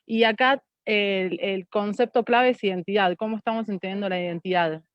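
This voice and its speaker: A woman's voice: mid-range voice, steady tone, normal speed, no room sound. Casting: Argentine-accented woman, reflective academic panelist who argues through rhetorical questions; close, dry, slightly dull recording. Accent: Argentine Spanish.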